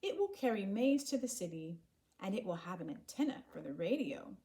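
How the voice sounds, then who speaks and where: A woman's voice: medium pitch, big pitch swings, a little fast, mellow. A woman voicing an eager, resourceful young village boy in a storybook, earnest and explaining. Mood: proud planning.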